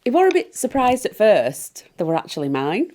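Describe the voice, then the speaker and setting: A woman's voice: mid-range voice, wildly swooping pitch, normal speed, dark tone. A woman playing a brash, vain pantomime stepsister, gossipy and blunt in a comic monologue. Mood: smug, indignant.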